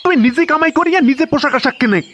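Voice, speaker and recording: A man's voice: very high voice, expressive, very fast, fairly bright tone, a little hiss or hum. A man voicing an irritable village father in a comedy cartoon, scolding crudely with a North Bengal accent. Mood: exasperated. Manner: foul-mouthed, exasperated, scolding.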